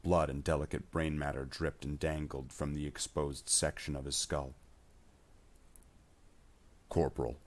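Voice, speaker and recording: Very deep, steady male story narrator.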